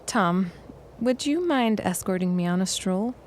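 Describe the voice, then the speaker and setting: A woman's voice: medium pitch, expressive, measured pace, a little mellow, a little hiss or hum, close to an everyday voice. A woman playing a sociable, dryly witty woman in a period audio drama. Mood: tactful.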